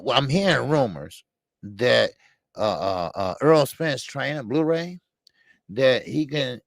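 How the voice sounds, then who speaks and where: A man's voice: mid-range voice, creaky, no echo. A man hosting a sports call-in show, talking in an informal, familiar, slangy way. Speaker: host of a boxing call-in show. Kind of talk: casual sports talk with callers.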